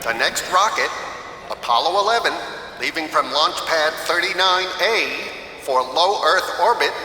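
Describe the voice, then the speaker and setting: An actor playing a deadpan, deliberate launch announcer over a public address loudspeaker in a radio comedy. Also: male voice, fairly high pitch, full character voice. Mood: deadpan, official. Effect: loudspeaker reverb. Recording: echoing loudspeaker hall with noise.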